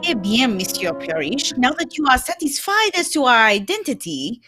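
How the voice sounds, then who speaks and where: A woman's voice: sits high, big pitch swings, sharp tone, breathy edge, faint music behind, heavily acted. A woman voicing a formal, courteous male Belgian detective with a French-tinged accent, in the style of an old radio drama. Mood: courteous, precise. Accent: French-tinged Belgian accent, put on. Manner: formal, polite, measured foreign phrasing.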